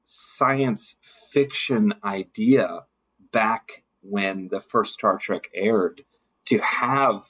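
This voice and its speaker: Guy on a podcast, bit of a husky voice, thoughtful, stops and starts, leans on key words. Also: lowish voice, drawn-out words, fairly bright tone, poor audio. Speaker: guest, city council member. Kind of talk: conversational podcast discussion.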